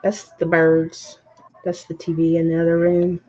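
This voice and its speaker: A woman hosting a craft chat from home, playful and teasing, and she talks loud. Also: on the low side, monotone, normal speed. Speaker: craft channel host. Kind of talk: casual chat while crafting. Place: home craft room, TV in the next room. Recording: dull lo-fi sound with faint noise.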